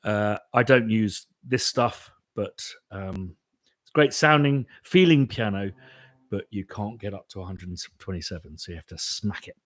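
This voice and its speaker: A man's voice: on the low side, lively intonation, moderate pace, dry recording. British man, casual live Q&A, rambling, lots of restarts, dry close sound. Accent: British English. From Britain. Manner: rambling, many restarts and fillers. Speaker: composer hosting a live Q&A.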